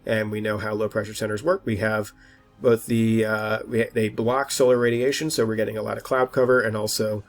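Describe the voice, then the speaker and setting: A man's voice: on the low side, flat delivery, fairly quick, faint music behind. Congested man giving an informal weather forecast. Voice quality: slightly stuffed-up, congested. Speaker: weather forecaster and channel host.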